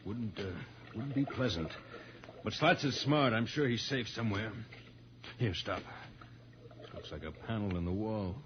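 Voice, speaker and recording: An actor playing a resolute, reassuring space-adventure hero, decisive and commanding, in old-time radio serial style. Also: male voice, lowish voice, unhurried, long pauses, natural voice. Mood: cautious, resolute. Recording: muffled, noisy vintage radio recording.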